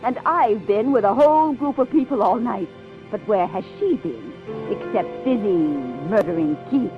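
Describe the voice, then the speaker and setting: A woman playing a jealous, sharp-tongued murder suspect in a 1950s radio crime drama, accusatory in manner. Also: on the high side, expressive, moderate pace, narrow, tinny audio, close to an everyday voice. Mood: bitter. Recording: band-limited old radio recording, faint music.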